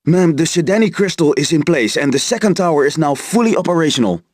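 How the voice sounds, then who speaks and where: A man's voice: fairly high pitch, lively intonation, quick pace, a little mellow, no echo, clean studio sound. An actor playing an amiable, enthusiastic male scientist in a video game, articulate and courteous. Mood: formal. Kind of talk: video game cutscene acting.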